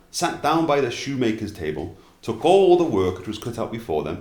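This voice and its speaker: A man narrating fairy tales as an expressive storyteller, at times dropping into casual asides. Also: medium pitch, reverberant.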